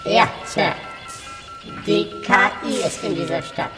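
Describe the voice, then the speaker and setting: Reverberant, distant deferential informant. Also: male voice, extremely high pitch, wildly swooping pitch, slow, vocal fry, heavily acted. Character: deferential male informant and tracker, Mister Ivan. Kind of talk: radio drama acting. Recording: long reverb, distant, music behind.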